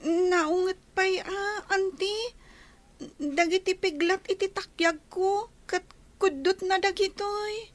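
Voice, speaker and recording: A timid, mistreated little girl, with a very high, small voice. She speaks slowly and hesitantly, pleading meekly as a child in a radio drama. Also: no room sound. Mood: timid, hurt. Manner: meek, hesitant, tearful pleading.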